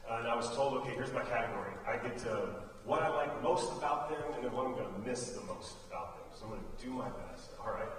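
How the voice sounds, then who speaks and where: A man's voice: mid-range voice, lively intonation, fast talker, hardly any pauses, fairly bright tone. American man speaking in a very echoey hall. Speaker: theater director giving tributes to students. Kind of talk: informal speech honoring senior students. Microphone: distant microphone. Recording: very echoey hall, distant mic, faint noise.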